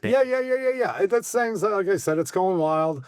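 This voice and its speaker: A middle-aged man with a hoarse, fairly high voice rambles along in folksy, excitable bursts, stopping and restarting his sentences. The sound is dry and close, with no room echo. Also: moderate pace. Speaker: host and bar owner. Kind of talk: casual podcast chat.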